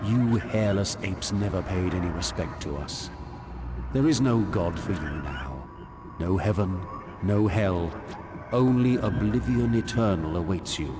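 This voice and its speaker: An actor playing a pompous fallen angel in a video game, an arrogant lord of purgatory who speaks in grandiose, scornful phrases. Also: male voice, sits low, lively intonation, unhurried, background noise.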